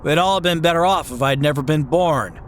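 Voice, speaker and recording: A man's voice: fairly high pitch, normal speed, a little hiss or hum, lightly acted. A man playing a troubled, bewildered small-town family man in an old-time radio drama, with a hesitant, stammering delivery. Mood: despairing.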